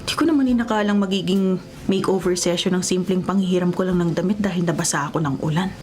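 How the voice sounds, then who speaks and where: A woman's voice: fairly low pitch, quick pace, a little mellow, natural voice. A woman with a Filipino accent playing a meek, hesitant young tomboy in a radio drama. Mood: uneasy, inward musing. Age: young adult. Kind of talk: Tagalog radio drama acting. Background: music bed.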